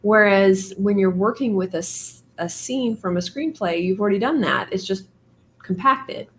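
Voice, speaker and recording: A woman hosting a writing stream, talking casually with many fillers and laughs, her voice going hoarse. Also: medium pitch, moderate pace, slight background noise. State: losing her voice. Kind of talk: casual chat.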